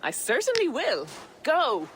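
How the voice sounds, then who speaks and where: Game role: a bold, teasing archer, high-pitched, with faint outdoor sounds behind. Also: female voice, wildly swooping pitch, measured pace, fairly bright tone, slight background noise, exaggerated voice, poor audio. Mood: playful, daring. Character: cheeky, confident Irish craftswoman and archer. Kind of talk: video game cutscene acting.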